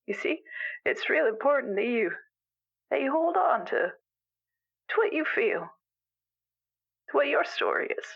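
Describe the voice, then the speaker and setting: A woman playing an elderly, gentle animal weaver in an improvised radio call-in drama, rambling haltingly through folksy, wistful advice. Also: high voice, expressive, creaky, exaggerated voice. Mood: earnest, musing. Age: older. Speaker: caller to a radio show. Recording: dull lo-fi radio call sound.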